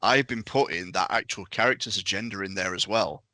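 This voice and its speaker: A man with a bright, forceful voice on the low side explains casually. He speaks as a game master on a panel, and his sound is very dry. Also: a little fast, no echo.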